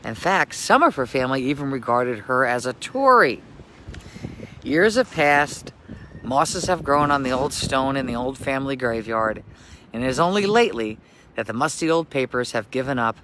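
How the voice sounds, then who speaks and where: A man's voice: mid-range voice, bright, forceful tone, a little hiss or hum. A man who works as a local history tour guide, speaking in an emphatic storytelling way. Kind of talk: scripted story reading, then informal tour talk.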